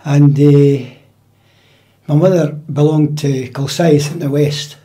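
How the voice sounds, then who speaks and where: A Scottish man in his seventies, recalling his working life in a measured, matter-of-fact way during a relaxed interview in a dining room at home. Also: on the high side. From Scotland. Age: 70s. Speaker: interview guest, retired drummer. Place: dining room in a private house.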